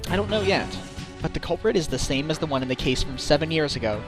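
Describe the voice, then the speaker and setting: A man playing an earnest, excitable defense lawyer in a fan dub of a courtroom mystery game, emphatic and pleading. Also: a little high, fast, music in the background, natural voice. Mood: earnest, pressing.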